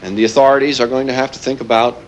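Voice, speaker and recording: An actor playing a grave, earnest former weapons planner turned militant, delivering a deliberate televised statement in a realistic drama. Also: male voice, medium pitch, steady tone, a little hiss or hum, close to an everyday voice, rough recording. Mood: firm. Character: grave male former weapons planner turned anti-nuclear hostage-taker.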